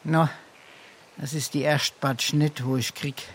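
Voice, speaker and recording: An actor playing a brazen, pompous scrounger in a Darmstadt Hessian dialect comedy, theatrical and blustering. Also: male voice, fairly high pitch, steady tone, unhurried, slightly rough. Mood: self-pitying bravado. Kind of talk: dialect stage comedy acting.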